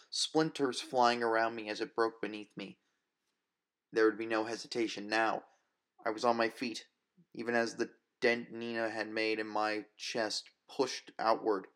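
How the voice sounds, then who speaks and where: Casting: male audiobook narrator with a lowish voice for dramatic first-person fiction. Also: a bit bright.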